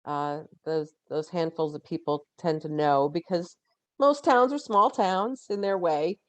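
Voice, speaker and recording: A woman in her fifties, a guest on a podcast interview, talking in an earnest, reflective way and pausing to find her words. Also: lowish voice, dry recording, muffled recording. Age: 50s. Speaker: guest, genetic genealogist.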